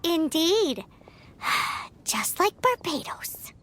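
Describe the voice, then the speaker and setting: Tiny excitable fairy sidekick, very high squeaky child voice, bossy, animated, anime game with music behind. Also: female voice, drawn-out words, exaggerated voice. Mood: wry. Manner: exclamatory, refers to self in third person. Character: small chirpy floating fairy companion girl, excitable and bossy.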